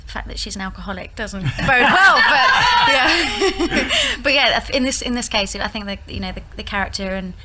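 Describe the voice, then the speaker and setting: A woman's voice: a little high, big pitch swings, normal speed, very noisy. A woman in her thirties, a musical theatre actress, chatting hesitantly and laughing in an interview. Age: 30s. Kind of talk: casual interview chat.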